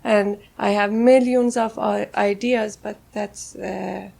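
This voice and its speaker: A woman from Iran with a Persian accent, a native Persian speaker, answering casual interview questions in halting English as an artist. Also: mid-range voice, fairly even intonation, gravelly, darkish tone. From Tehran, Iran. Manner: halting, searching for words in English. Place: on a houseboat, seated at a table.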